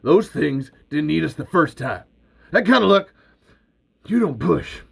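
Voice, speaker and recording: A man reading out a screenplay, voicing an excitable scheming male hustler who pitches high and loud. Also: takes it slowly. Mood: excited.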